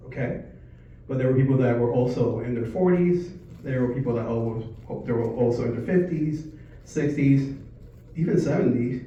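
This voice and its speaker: A man in his forties from Puerto Rico gives an informal presentation, telling a personal story in a conversational, halting way. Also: mid-range voice, mellow, distant mic. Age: 40s. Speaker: speaker at a company sales meeting. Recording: echoey room from afar, muffled, faint noise.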